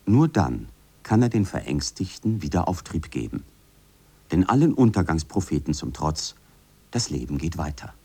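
A man's voice: rumbling low, very animated, moderate pace, warm tone, breathy edge. A man giving a formal scripted reading of quoted texts.

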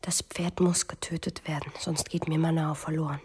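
An actress in a literary German radio drama playing an anguished young woman, wary and desperate, with a breathy voice. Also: deep voice, flat delivery, moderate pace, darkish tone. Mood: anxious, resolute.

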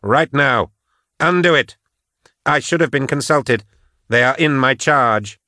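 Man playing a sharp, defiant young woman. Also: mid-range voice, moderate pace, no echo, studio quality, voice pushed up, natural voice. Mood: indignant. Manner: sharp, forceful, insistent. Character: defiant, hot-tempered young adult woman. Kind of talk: audiobook character acting.

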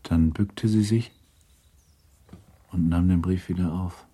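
A man narrating a literary radio play in a grave, measured, restrained manner. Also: sits low, steady tone, dark tone, breathy edge, full character voice. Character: grave, restrained narrator of a 19th-century novel.

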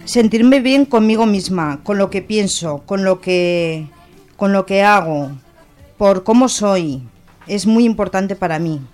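A woman with an accent from Spain giving an informal personal reflection in a measured way, phrase by phrase. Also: medium pitch, darkish tone. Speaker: discussion participant. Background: faint music.

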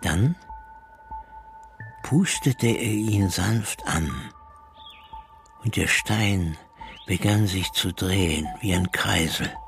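An actor playing a brooding man who recalls his brother's disappearance in a radio drama, telling it deliberately with pauses, over music. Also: low-pitched, vocal fry, heavily acted. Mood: haunted, measured. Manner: slow, deliberate storytelling with pauses. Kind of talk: radio drama first-person narration. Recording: music under the voice.

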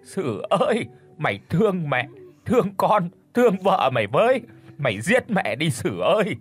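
A man voicing a sick old mother who wails and pleads, in a husky voice over faint guitar music. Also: medium pitch, big pitch swings, normal speed. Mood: wailing. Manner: wailing, lamenting.

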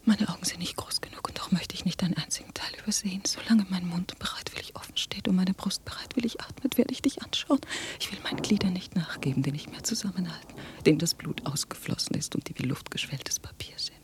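A woman's voice: lowish voice, fast talker, close to an everyday voice. An actress playing a feverish, love-struck woman in a radio drama, whispering breathlessly in an intense, incantatory rapture. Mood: trembling awe. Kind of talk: literary radio drama monologue.

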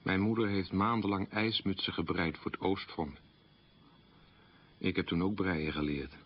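Low, husky man looking back on his wartime childhood, measured radio monologue. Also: fairly even intonation, highs cut off. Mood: wistful recollection. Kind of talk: radio documentary-drama monologue, reminiscing.

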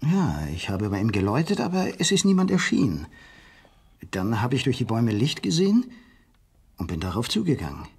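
A man's voice: mid-range voice, huge pitch swings, brisk, darkish tone, husky, heavily acted. An actor playing a testy, sarcastic husband who insists on his story, in a German radio crime drama. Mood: tense, recounting. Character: irritable, insistent husband shaken by a strange night.

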